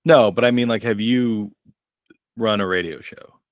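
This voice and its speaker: A man's voice: lowish voice, a little slow, no room sound. A middle-aged man, a comedian co-hosting a podcast, chatting casually and rambling in a self-deprecating way. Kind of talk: casual chat.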